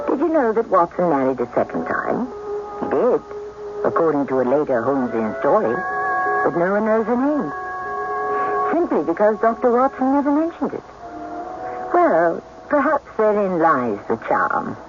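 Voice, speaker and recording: A man hosting a radio drama, reading scripted narration with theatrical, deliberate emphasis. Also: fairly high pitch. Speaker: host. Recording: muffled, noisy old radio broadcast.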